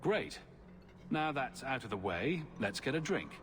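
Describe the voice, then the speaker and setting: An actor playing an irreverent, quippy adventuring champion in a video game, dry and flippant in delivery. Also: male voice, medium pitch, sing-song, a little slow, a bit bright, a little hiss or hum. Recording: music behind the voice.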